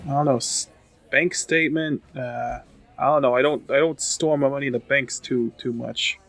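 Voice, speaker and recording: A man playing a tabletop role-playing game, chatting casually in a halting, self-deprecating, joking way. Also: medium pitch, fairly even intonation, creaky, fairly bright tone. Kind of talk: casual chat and roleplay.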